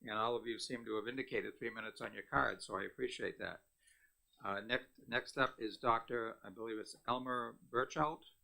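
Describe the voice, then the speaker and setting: Gravelly, bright-voiced committee chair. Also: male voice, fairly low pitch, moderate pace, rough recording. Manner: polite, procedural, slightly unsure over names. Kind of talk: chairing a hearing.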